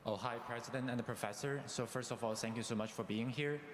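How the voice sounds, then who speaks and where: A man's voice: medium pitch, fast. A young man from China, a master's student, asking a question in hesitant, non-fluent English. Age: young adult. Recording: echoey hall with loud background noise.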